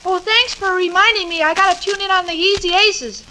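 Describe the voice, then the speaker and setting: A woman's voice: very high voice, fairly even intonation, a little fast, sharp tone. An actress playing an innocent, cheerful, scatterbrained woman in her twenties in a 1940s radio comedy, naive and earnest in delivery. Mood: breezy. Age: 20s. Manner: naive, earnest, literal-minded. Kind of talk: 1940s radio sitcom acting. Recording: very noisy, crackly 1940s radio recording.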